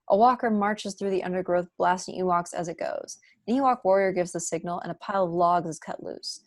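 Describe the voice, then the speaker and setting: A woman's voice: lowish voice, a little mellow. A young adult woman reading scripted stage directions as narrator at an online table read. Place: room at home, video call.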